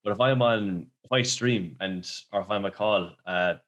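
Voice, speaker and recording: An Irish man from Limerick with a fairly low voice chats easily as a podcast guest. He tells his stories fast, slips into little quoted asides and laughs a lot along the way. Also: fairly bright tone, highs cut off. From Ireland. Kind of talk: casual chat.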